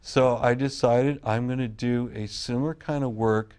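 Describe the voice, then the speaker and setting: A man's voice: medium pitch, steady tone, moderate pace, a little mellow, clear, resonant tone. A middle-aged man from California, a wine grape grower, telling long family stories in a casual interview with frequent restarts. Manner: rambling, restarts sentences often.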